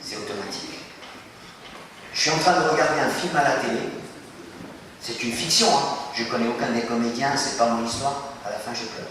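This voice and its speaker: A man's voice: medium pitch, brisk, a little hiss or hum. Man lecturing from far off in an echoey hall. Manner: animated, questions the audience, acts out little scenes. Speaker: speaker addressing students. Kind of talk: talk to a school audience.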